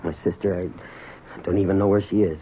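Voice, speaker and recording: An actor playing the bitter, unyielding son of an imprisoned robber in an old-time radio drama. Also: male voice, low-pitched, little pitch movement, brisk, dull audio. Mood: bitter, anguished. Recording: thin, noisy old radio broadcast.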